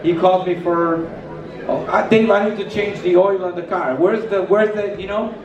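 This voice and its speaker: A man's voice: sits high, fairly even intonation, normal speed, highs cut off, a crowd in the background. A middle-aged Puerto Rican man whose first language is Spanish, giving a rambling, anecdote-filled speech at a retirement party into a handheld mic on a stage. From Puerto Rico. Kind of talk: casual speech to a party crowd. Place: large hall with a stage, indoors. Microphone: handheld mic, filmed by a far camera. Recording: echoey hall from far off.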